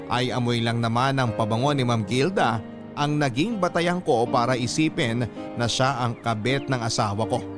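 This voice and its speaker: Male radio storyteller: middle register, dramatic phrasing, scripted letter narration over music. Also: mid-range voice. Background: background music.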